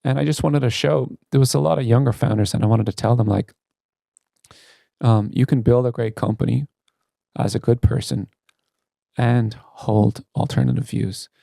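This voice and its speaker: Gravelly, mellow man speaking earnestly. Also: medium pitch, steady tone, moderate pace, dry recording, studio quality. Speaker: tech company CEO, interview guest. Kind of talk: candid podcast interview conversation.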